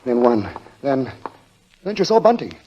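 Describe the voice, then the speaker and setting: An actor as a grave, ominous narrator, telling a 1940s radio suspense story in deliberate, dramatic phrases. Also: male voice, mid-range voice, sing-song, mellow, slight background noise. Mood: mounting dread. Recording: dull lo-fi old radio recording.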